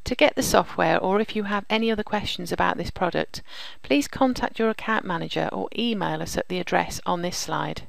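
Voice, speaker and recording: A woman narrating a software demonstration as a presenter, explaining things step by step. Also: on the low side, fairly quick, creaky, few pauses, no echo, low-quality recording. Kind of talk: scripted software demo narration.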